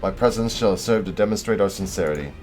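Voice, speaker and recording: A man reading a dignified young lord, low-voiced. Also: quick pace, darkish tone, soft background music, lightly acted. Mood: earnest. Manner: reads formal lines aloud, stumbling over words.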